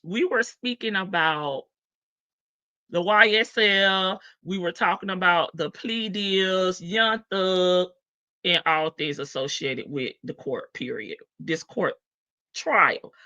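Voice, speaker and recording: A woman's voice: on the low side, slow, sharp tone, highs cut off. A woman hosting a livestream, chatting playfully about a court case, laughing often and greeting viewers by name. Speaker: livestream host. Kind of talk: casual gossip commentary on a court case.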